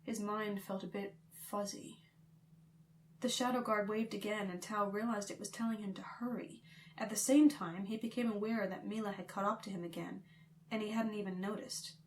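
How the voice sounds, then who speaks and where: A woman's voice: medium pitch, fairly even intonation, moderate pace, a little hiss or hum. A woman reading fiction as an audiobook narrator, in a scripted, dramatic storytelling style.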